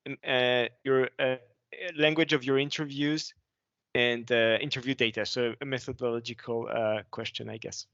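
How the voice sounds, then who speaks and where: A man's voice: medium pitch, steady tone, normal speed, gravelly, a bit bright, no echo, muffled recording. A man chairing a conference Q&A session, hesitant with many fillers.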